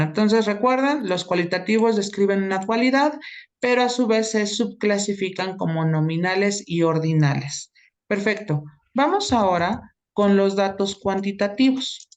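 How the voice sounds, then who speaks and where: A woman teaching an online class, explaining step by step and often checking in with her students. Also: mid-range voice.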